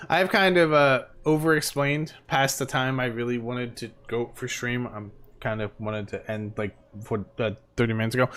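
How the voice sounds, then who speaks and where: A man's voice: mid-range voice, a bit bright. A man streaming a game live, chatting casually and rambling with plenty of fillers, tired and losing his voice.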